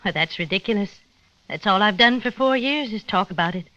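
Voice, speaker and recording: A woman's voice: mid-range voice, lively intonation, brisk, pushed and bright. An actress playing the indignant wife of a long-missing man in an old radio drama. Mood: indignant, weary. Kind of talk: 1940s-50s radio drama acting. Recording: muffled vintage radio recording.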